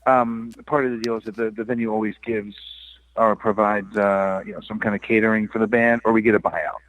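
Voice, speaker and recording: A man's voice: fairly low pitch, fairly even intonation, brisk, no room sound, phone-quality sound. Laid-back joking man, dry sound. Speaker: guest musician. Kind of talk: casual interview.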